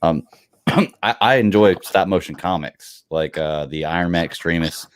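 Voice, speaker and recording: A man's voice: low-pitched, unhurried, no room sound. A man co-hosting a podcast, chatting enthusiastically about things he loves. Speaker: podcast co-host. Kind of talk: casual podcast chat.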